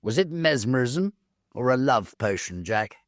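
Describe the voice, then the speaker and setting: A young gentleman of the Victorian club acted by a male story reader, bantering and full of mock drama. His pitch leaps about and sits above a calm reading tone. It is a dry storybook recording. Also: unhurried, dry recording. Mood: mock despair.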